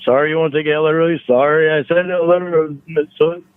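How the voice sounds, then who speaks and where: A man arguing over the phone in a halting, defensive way, repeating himself, on a thin line. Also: fairly high pitch, steady tone, smooth, no echo, phone-quality sound. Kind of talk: phone argument. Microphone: phone call.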